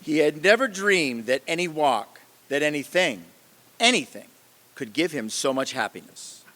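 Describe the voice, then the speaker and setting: A man's voice: on the high side, expressive, normal speed, bright, forceful tone. A man narrating a story in a theatrical style for an old-time radio reading. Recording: reverberant hall stage recording.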